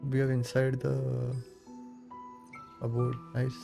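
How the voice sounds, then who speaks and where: A man's voice: mid-range voice, monotone, slow, vocal fry, warm tone, faint music behind. A man livestreaming a video game, giving casual commentary in short, muttered reactions and readouts.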